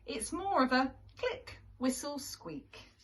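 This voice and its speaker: A woman reading a picture book aloud to young children as a teacher, in an expressive storytelling manner with deliberate pauses. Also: high-pitched, slight background noise. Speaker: primary school teacher reading to pupils. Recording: distant microphone.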